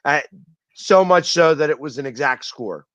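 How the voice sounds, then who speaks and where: A man with a Philadelphia accent hosting a casual sports chat, loud and boastful as he teases his guests. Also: fairly high pitch, expressive, normal speed, no echo. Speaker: host.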